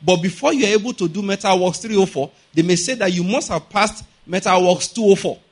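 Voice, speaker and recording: A man's voice: high-pitched, brisk, breathy edge. A middle-aged Nigerian man preaching a teaching sermon, explaining point by point with repetition and frequent rhetorical checks on understanding. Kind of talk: sermon, teaching with everyday examples.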